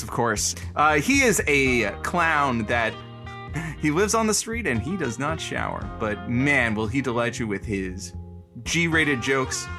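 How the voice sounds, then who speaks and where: A man playing a scruffy, sardonic, flirtatious radio station colleague in an audio comedy drama, wry and sly in manner. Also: medium pitch. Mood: mock announcing.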